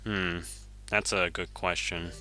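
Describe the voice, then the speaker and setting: Male game streamer, Japanese first language; deep, very bright and forceful tone, relaxed self-correcting chatter over faint game music. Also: slow pace. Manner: easygoing, chuckles often, self-correcting. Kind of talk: casual gameplay commentary, reading chat.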